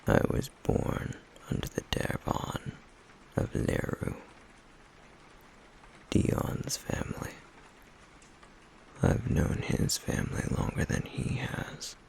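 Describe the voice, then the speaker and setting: A man playing a stoic, guarded flame demon in an ASMR roleplay, in a breathy, gravelly near-whisper with halting, measured pauses. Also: darkish tone, a little hiss or hum, natural voice. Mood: somber, explaining.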